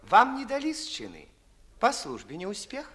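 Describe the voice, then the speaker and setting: High, fawning young clerk. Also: male voice, wildly swooping pitch, moderate pace, bright, forceful tone, heavily acted. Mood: polite condescension. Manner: deferential, mincing, unctuous. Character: obsequious, cautious young clerk, sly flatterer. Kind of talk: 1950s classical radio theatre acting in verse.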